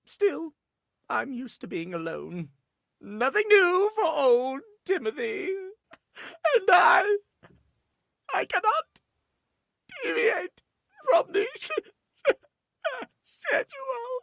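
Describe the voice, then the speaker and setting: A man's voice: very high voice, huge pitch swings, extremely slow, creaky, pauses often, a bit bright, no echo, clean studio sound, full character voice. An actor voicing a fussy, prim male clock obsessed with schedules, speaking formally and precisely in a theatrical, old-fashioned way for a video game. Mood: awkward, apologetic, then tearful. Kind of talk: video game character acting.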